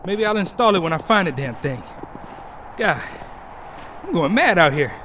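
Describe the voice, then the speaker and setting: Exasperated young hero, acted by a man, swooping pitch. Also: sing-song, normal speed, fairly bright tone, a little hiss or hum. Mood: frustrated. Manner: grumbling, sarcastic, talking to himself. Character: exasperated young male hero alone on a quest. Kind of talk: audio drama acting.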